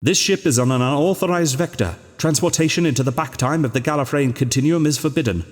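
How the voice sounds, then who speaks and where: A man's voice: a little high, fast talker, long reverb tail, voice pushed up, close to an everyday voice. A man voicing a stern official announcer of time control who issues formal, commanding warnings.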